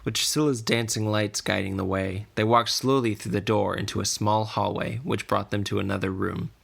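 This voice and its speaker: A man narrates a scripted fantasy adventure. His voice sits low and has a creaky, gravelly grain. He tells the story in measured, deliberate sentences. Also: fairly even intonation, fairly bright tone. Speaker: podcast narrator.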